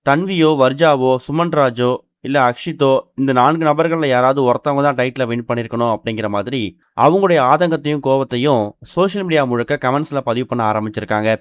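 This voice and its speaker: A man's voice: mid-range voice, a little fast, dry recording. A man reading a scripted entertainment news voice-over as narrator.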